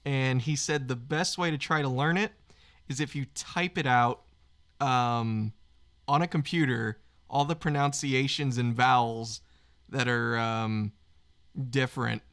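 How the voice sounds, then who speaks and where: American man, medium pitch, casual conversational talk, livestream participant. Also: a little slow, a bit bright, clean tone. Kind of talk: casual chat.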